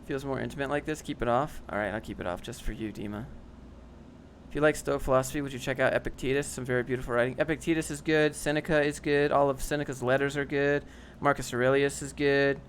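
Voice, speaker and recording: A man's voice: mid-range voice, steady tone, fast, a little hiss or hum. A young man casually reading and answering chat on a livestream, dry and sarcastic, often rambling.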